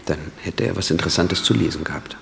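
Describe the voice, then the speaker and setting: An actor playing a cool, secretive man in a radio play, with a low-key, clipped, knowing delivery. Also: fast, creaky, very noisy. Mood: conspiratorial.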